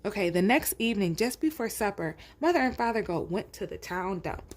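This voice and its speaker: A woman narrates a children's storybook at a medium pitch. She reads aloud, then drops into conversational asides addressed directly to her young listeners. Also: lively intonation, a little fast, background noise.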